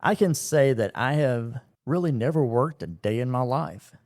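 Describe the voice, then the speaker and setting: Middle-aged radio host in casual storytelling. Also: male voice, medium pitch, moderate pace, no echo, studio quality. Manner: relaxed storytelling with frequent fillers. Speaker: radio morning show host and operations manager. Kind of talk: casual interview chat.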